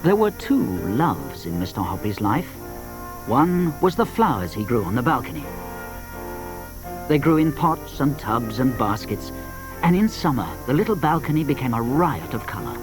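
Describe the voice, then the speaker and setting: Guy narrating a kids audiobook, really animated, music behind him. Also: mid-range voice, moderate pace, low-quality recording. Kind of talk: dramatised children's storybook narration.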